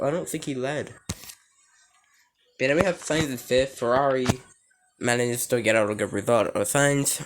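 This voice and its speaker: A man with a hoarse, nearly lost voice, giving an excitable, rambling casual reaction to a race. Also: mid-range voice, slight background noise, rough recording. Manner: excitable and rambling, many restarts and "like"s. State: hoarse, voice almost gone. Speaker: racing fan reviewing a race.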